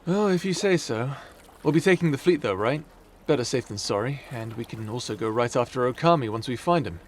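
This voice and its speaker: A man's voice: mid-range voice, animated, brisk, slight background noise, close to an everyday voice. A man playing an uneasy, informal warrior leader in an ASMR audio roleplay, talking earnestly and hesitantly. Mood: wary. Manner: casual, hesitant, earnest phrasing.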